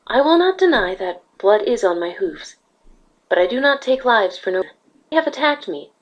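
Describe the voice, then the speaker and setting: A woman voicing a calm, regal alicorn pony ruler in a fan fiction drama, speaking in a measured, formal, patient way. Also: on the high side, muffled recording. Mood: earnest, firm.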